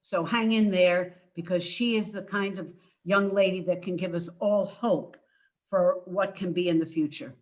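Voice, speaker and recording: An older woman giving an informal book talk over a video call from home, halting and emphatic as she speaks. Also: fairly low pitch, fairly even intonation. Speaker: author presenting her book. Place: indoors at home.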